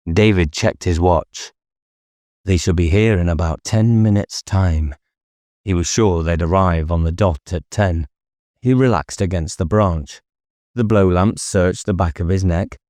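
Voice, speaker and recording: Male audiobook narrator, lower register, dry close sound, measured dramatic delivery of fiction. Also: darkish tone, no room sound. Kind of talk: scripted novel narration.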